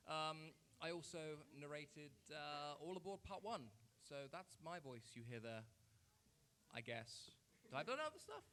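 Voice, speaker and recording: A man bantering casually on a convention panel, excitable and jokey, repeating himself as he talks into a table microphone. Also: a little high, unhurried, a little hiss or hum. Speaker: voice actor on a fan convention panel.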